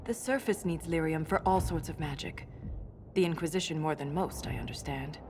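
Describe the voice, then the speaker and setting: An actress playing an earnest, formal dwarven scholar in a video game, speaking precisely and with measured care. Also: female voice, low-pitched, noisy background, close to an everyday voice. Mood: grave, concerned. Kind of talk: video game cutscene acting.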